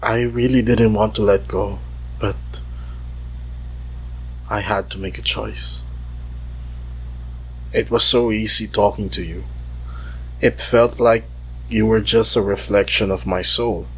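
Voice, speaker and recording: A man leaving an intimate, confessional message, halting and wistful, with long pauses. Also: lowish voice, fairly even intonation, husky, low-quality recording. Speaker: voicemail caller.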